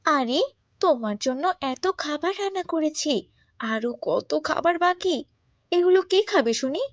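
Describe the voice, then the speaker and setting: A high-pitched young-sounding mother, acted by a woman, full of tenderness. She speaks in a coaxing, affectionate cartoon manner. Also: lively intonation, unhurried, no echo, dull audio. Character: tender, doting mother of a little girl. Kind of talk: moral cartoon voice acting.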